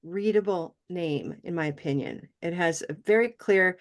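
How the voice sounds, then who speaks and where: A woman's voice: on the low side, normal speed. A woman teaching English pronunciation in an online class, encouraging and laughing often.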